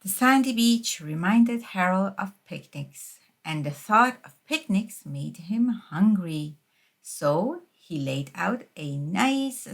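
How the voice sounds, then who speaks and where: A woman narrates a children's picture book at a slow pace. Her pitch sits in the middle range for a woman, and she tells the story in a measured, expressive read-aloud style. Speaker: storybook reader.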